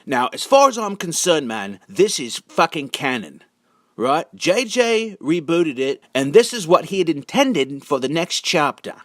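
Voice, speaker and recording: A man giving a casual, halting monologue as a video narrator, drawling words for sardonic emphasis. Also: on the high side, sing-song, no room sound, studio quality. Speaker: video narrator and amateur reader.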